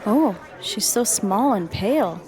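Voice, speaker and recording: A woman's voice: mid-range voice, animated, a little slow, over a noisy crowd, very noisy, natural voice. An actress playing an earnest woman in an old-time radio drama, with careful, scripted phrasing. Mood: curious. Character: woman storyteller and onlooker in a period drama.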